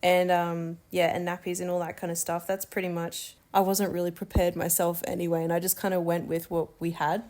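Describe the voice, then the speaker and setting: A woman's voice: on the low side, level tone, brisk, few pauses, darkish tone. A young woman, chatting candidly and laughing easily as she shares her birth story. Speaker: new mother sharing birth story. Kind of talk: casual chat.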